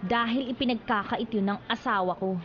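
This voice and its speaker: A Filipino actress playing a frustrated, volatile and jealous wife in a melodramatic radio drama. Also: female voice, fairly high pitch, brisk, lo-fi sound, noisy background, close to an everyday voice. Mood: hurt, resentful. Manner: emotional, melodramatic outbursts. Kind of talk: Philippine radio drama acting. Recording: radio drama with music underneath.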